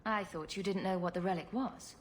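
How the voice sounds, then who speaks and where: A woman's voice: mid-range voice, steady tone, fairly quick, a little hiss or hum, close to an everyday voice. An actress playing a sarcastic, dry-witted female hero. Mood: probing.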